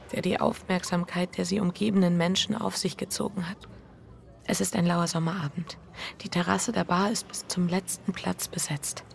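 An actress playing an anxious, guilt-ridden wife narrating a radio drama in an intimate, breathy, introspective way. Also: female voice, fairly low pitch, slight background noise. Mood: shaken, fixated. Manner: introspective, hushed inner monologue with halting dialogue. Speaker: lead actor and first-person narrator.

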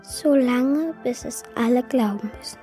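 A woman voicing a naive, eager wooden puppet boy in a children's radio play, with childlike, earnest excitement. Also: on the high side, unhurried, soft background music, natural voice. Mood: dreamy, hushed.

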